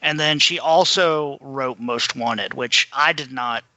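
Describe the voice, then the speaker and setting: A man's voice: mid-range voice, slow pace, sharp tone, dry recording, rough recording. A man co-hosting a podcast, discussing books in a casual, conversational way. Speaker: podcast co-host.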